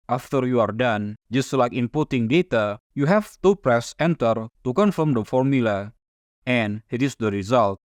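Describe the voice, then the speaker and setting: A man whose first language is Indonesian narrates a spreadsheet tutorial in a calm, methodical voice. His pitch sits in a typical middle range for a man. The recording is close and dry, with no room sound. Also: no echo. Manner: calm, methodical step-by-step explaining. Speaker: software tutorial instructor.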